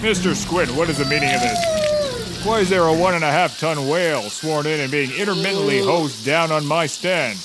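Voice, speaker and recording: A man's voice: on the high side, very animated, moderate pace, very noisy. A man acting as a blustering, exasperated judge in a scripted comedy.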